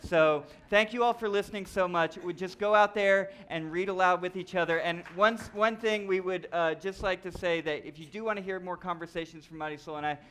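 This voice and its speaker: A man speaks as one of two presenters in a live talk with slides. His voice sits a little higher than usual for a man, and he addresses an audience. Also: fairly quick, hardly any pauses, a bit bright, clean tone.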